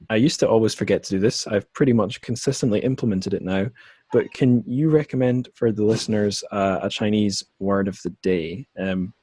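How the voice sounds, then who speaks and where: A man's voice: lowish voice, dark tone, breathy edge, no room sound. A man hosting a podcast in a casual interview chat, rambling and self-deprecating in a hesitant way. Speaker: podcast host.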